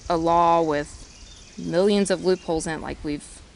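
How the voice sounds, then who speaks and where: Woman in a news interview, low-ish voice, passionate, bit of background noise. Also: steady tone, unhurried, creaky. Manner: earnest, passionate, with restarts. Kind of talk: news soundbite.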